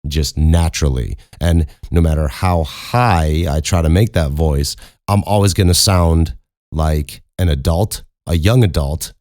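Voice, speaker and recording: A young man hosting a casual Q and A, with a very low speaking voice, rambling and self-mocking and swearing casually. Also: darkish tone, dry recording, clean studio sound. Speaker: podcast host and voice actor. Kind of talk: casual Q and A chat.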